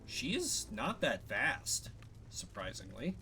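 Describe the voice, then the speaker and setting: Guy streaming a game, kinda high voice that jumps around a lot, faint music behind. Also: wildly swooping pitch, slow pace, bright, forceful tone. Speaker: gaming streamer. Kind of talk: let's play commentary with character voices.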